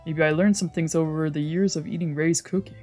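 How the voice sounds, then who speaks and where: A woman with a fairly low voice streams a story game over soft background music. She reads lines aloud and comments on them casually, in an expressive, exclamatory and slangy way. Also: fairly quick. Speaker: streamer playing and reading a visual novel. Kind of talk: casual let's play commentary mixed with reading game text aloud. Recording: music playing behind the voice.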